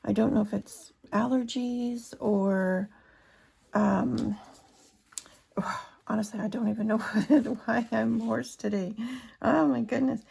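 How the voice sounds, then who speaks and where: A hoarse woman chatting casually to her viewers as a budgeting vlogger, counting cash aloud as she works. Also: fairly high pitch, fairly even intonation, slow pace, pauses often, warm tone. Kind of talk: casual chat while cash stuffing.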